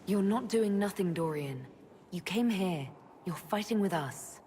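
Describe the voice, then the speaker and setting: An actress playing a calm, supportive fantasy army leader in a video game, speaking in a measured, gently teasing, reassuring way. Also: female voice, mid-range voice, natural voice. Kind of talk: video game cutscene acting. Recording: reverberant hall with faint ambient noise.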